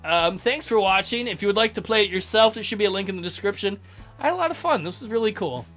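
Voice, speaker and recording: A man's voice: high-pitched, animated, quick pace, a bit bright, soft background music. A man hosting a let's play, reading a visual novel aloud and breaking in with crude, mocking jokes.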